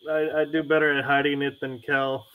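A man's voice: mid-range voice, level tone, brisk, phone-quality sound, background noise. An American man from Chicago chatting casually as a co-host asking questions. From Chicago, United States. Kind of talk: casual chat.

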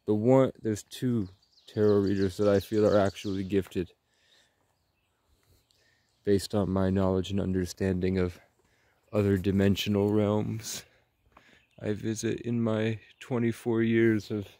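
Slow, low-voiced young man rambling. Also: level tone, dark tone, dry recording. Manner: halting, pausing in short phrases. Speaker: vlogger. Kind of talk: slow rambling monologue, ASMR-style walk. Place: outdoors in woods, walking.

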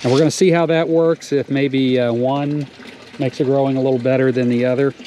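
A man on his farm casually walking through his fish-and-plant tank setup, explaining step by step with frequent pauses and "uh"s, recorded outdoors on a wearable camera. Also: medium pitch, fairly even intonation, warm tone. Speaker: farmer showing his aquaponics setup. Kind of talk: casual walk-through narration. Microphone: wearable action camera. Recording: noisy outdoor camera audio. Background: outdoor ambience with running water.

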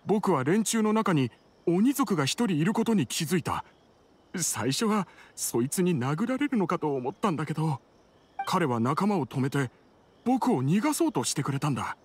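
A man's voice: sits high, husky, full character voice. A man playing a frightened, cautious villager in an anime video game, explaining things earnestly. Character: frightened young male villager, robbed and cautious. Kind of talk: Japanese anime game voice acting. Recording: background music under voice.

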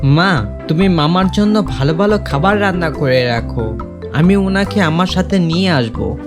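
A man's voice: a little high, lively intonation, a little slow, over music. A man playing a clever, scheming young village son in a cartoon moral tale, speaking earnestly and persuasively.

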